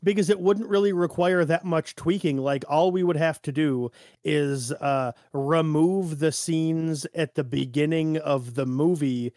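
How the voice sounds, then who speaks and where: A man with a slightly high voice talks casually as a podcast host. His delivery is informal and conversational, and the sound is very dry with no room echo. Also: measured pace, darkish tone.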